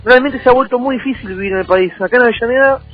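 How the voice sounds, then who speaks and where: A man with a Rioplatense Argentine accent talking politics as a radio interview guest, emphatic and repetitive, with halting phrasing. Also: very high voice. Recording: tinny phone-like sound over music.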